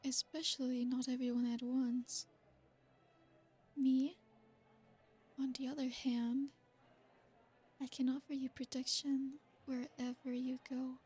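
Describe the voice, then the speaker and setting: A woman voicing an ancient, possessive royal vampire in an ASMR roleplay. She speaks slowly and seductively, with soft whispered asides. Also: high-pitched, level tone, full character voice. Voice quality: breathy, soft-spoken. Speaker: ASMR creator.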